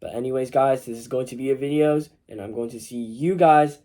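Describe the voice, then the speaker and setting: Mellow-voiced teen guy with a cold. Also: medium pitch, steady tone, a little fast. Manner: informal, loose and rambling, full of "you know". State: slightly sick with a mild cold. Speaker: self-improvement vlogger. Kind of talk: casual advice vlog to camera.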